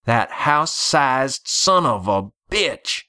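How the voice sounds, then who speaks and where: A man's voice: mid-range voice, big pitch swings, slow, pushed and bright, no room sound, clean studio sound, voice pushed up, full character voice. A man plays a brash, foul-mouthed gunslinger in an audiobook story. He talks bluntly, with folksy phrasing and a demanding, challenging edge. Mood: angry awe.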